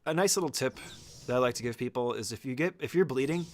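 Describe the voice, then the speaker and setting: A man's voice: medium pitch, expressive, fast, a little hiss or hum. A man giving an informal, step-by-step speedrun tutorial as casual gameplay commentary, swearing freely.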